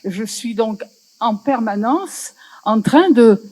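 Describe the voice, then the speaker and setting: A woman's voice: on the high side, expressive, takes it slowly, warm tone, rough recording. A woman in the audience speaks passionately about language, often searching for her words. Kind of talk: festival panel discussion.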